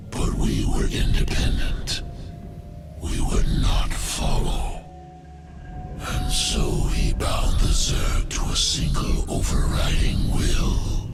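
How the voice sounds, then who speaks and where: An actor playing a colossal ancient alien beast in a video game, solemn and ponderous, with a guttural, gravelly growl. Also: male voice, close to an everyday voice. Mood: grim. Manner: ponderous, portentous pronouncements. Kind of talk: video game cinematic acting. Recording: loud game music behind.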